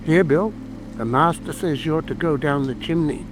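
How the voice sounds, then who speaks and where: A man's voice: on the high side, moderate pace, close to an everyday voice, poor audio. A man voicing a servile, reluctant animal servant with a folksy manner in a dramatic audiobook. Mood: plain, stubborn. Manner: deferential, folksy. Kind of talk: dramatic audiobook character acting. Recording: music and rain ambience behind.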